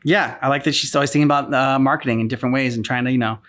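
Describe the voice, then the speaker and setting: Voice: mid-range voice, steady tone, very fast, a bit bright. Reaction host, mid-range voice, casual and exploratory conversational delivery. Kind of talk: casual chat.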